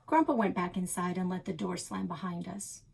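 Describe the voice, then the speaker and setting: A woman narrating a children's novel aloud in an expressive storytelling style. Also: lowish voice, moderate pace, gravelly, slightly rough.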